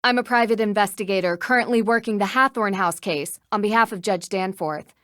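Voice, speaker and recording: A woman's voice: mid-range voice, a little fast, fairly bright tone, dry recording, studio quality, natural voice. A woman playing a polite, inquisitive young female detective in a video game. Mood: polite, businesslike.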